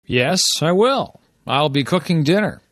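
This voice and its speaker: A man's voice: on the high side, animated, unhurried, a bit bright, no room sound, studio quality. A man playing an easygoing, reassuring friend answering a call in scripted lesson dialogue for language learners.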